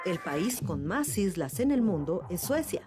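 A woman's voice: lowish voice, big pitch swings, a little slow. A woman reading short news and trivia items as scripted narration. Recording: music bed under voice.

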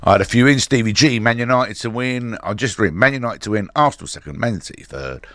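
Hoarse guy with a cold doing a chatty sports radio show, lotta ums, dry close mic. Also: medium pitch, animated, fast talker, fairly bright tone, no room sound. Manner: chatty, rambling, many filler sounds. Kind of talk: casual local radio chat. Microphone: studio microphone.

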